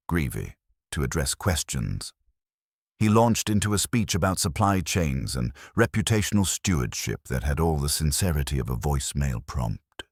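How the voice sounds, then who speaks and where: A man narrating a scripted first-person detective story in a dry, wry, measured way. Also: low-pitched, no room sound. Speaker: audiobook narrator.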